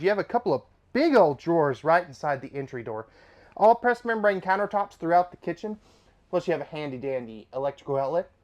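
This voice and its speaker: A man presenting an RV dealership walkthrough from inside a travel trailer, upbeat and chatty with casual asides, his voice slightly nasal from allergies. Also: high voice. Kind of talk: product walkthrough tour.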